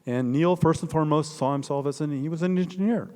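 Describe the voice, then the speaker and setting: A man's voice: a little high, quick pace, darkish tone. An older man with an American Midwestern accent, a biographer telling stories conversationally as he answers an audience question, in an emphatic, digressive way. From northeastern Indiana, United States. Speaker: author and biographer giving a talk. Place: large indoor hall, public talk. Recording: echoey hall with long reverb.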